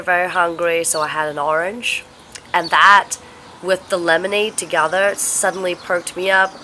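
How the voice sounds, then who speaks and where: A young woman vlogs from home with a congested, stuffed-up voice. Her tone is bright and forward but sits a bit low. She talks slowly and haltingly, worn out, with a faint hiss in the background. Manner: slow, halting, tired delivery. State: congested, sniffly with a cold. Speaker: vlogger. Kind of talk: casual vlog chat. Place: home, indoors.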